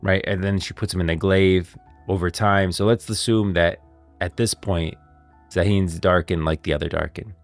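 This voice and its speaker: A man's voice: deep voice, fairly even intonation, moderate pace, soft background music. A man streaming game lore commentary, moving between scripted narration and off-the-cuff explanation.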